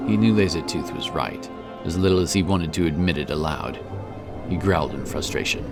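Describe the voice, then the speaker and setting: A man's voice: sits low, fairly quick. A man reading a fantasy audiobook as narrator, in a dramatic scripted style. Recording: loud epic music behind the voice. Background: loud epic music.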